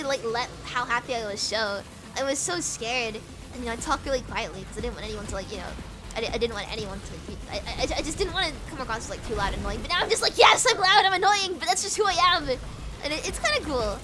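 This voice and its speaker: A bubbly young woman chatting to her viewers while she plays, her voice high and swooping all over the place. She giggles often, trips over repeated words and slips between British and American accents, with game music playing behind her. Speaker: streamer. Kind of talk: casual chat while gaming.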